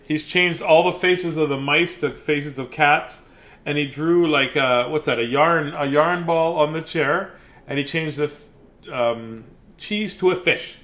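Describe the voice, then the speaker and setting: A man with a slightly high-pitched voice reads a children's storybook aloud. He is playful and informal, and he rambles off into chatty asides before returning to the page. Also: measured pace, a bit bright. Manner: playful, rambling, often breaking off to comment. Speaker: children's story reader and host.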